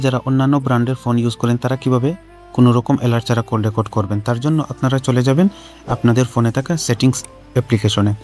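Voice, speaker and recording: A man hosts a step-by-step tech tutorial at a quick pace. He sits at an average male pitch and repeats instructions directly to his viewers, with music playing behind him. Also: darkish tone. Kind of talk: scripted-sounding how-to narration addressed to viewers. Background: background music.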